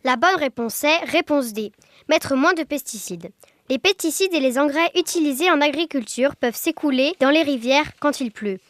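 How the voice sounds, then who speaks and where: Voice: high-pitched, expressive, normal speed, a bit bright, slight background noise. A child reading a scripted radio presentation as a young presenter. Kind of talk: scripted radio quiz presentation, read aloud.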